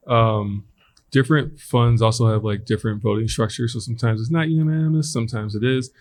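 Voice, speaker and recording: A man's voice: medium pitch, darkish tone. A man on a conference panel, a startup founder talking thoughtfully with many ums and restarts. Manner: thoughtful, filler-heavy, builds points slowly. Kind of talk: panel discussion. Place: conference stage.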